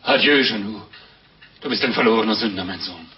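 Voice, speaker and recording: An actor playing a fretful, scolding monk with theatrical pleading in a 1950s radio drama. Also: male voice, fairly low pitch, a little fast, natural voice. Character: pious, fretful, exasperated monk, fatherly and scolding. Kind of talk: 1950s German radio play acting. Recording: dull 1950s radio recording with music.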